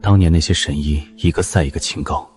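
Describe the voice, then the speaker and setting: A man's voice: very deep voice, brisk. A man playing an aloof, formal young man from ancient times in an audio drama, with a cool, terse delivery. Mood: cool, detached. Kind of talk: Chinese audio drama dialogue.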